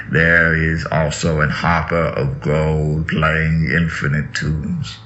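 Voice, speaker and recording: A man with a deep, gravelly voice gives a solemn, theatrical recitation of scripture, drawing out phrases and swelling with emphasis. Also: bright, forceful tone. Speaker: reader. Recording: dull lo-fi audio with music underneath. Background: music.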